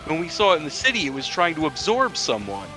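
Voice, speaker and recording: A man's voice: fairly high pitch, wildly swooping pitch, quick pace, music in the background, rough recording. A man casually roleplaying and narrating his character's actions as a player in a tabletop game.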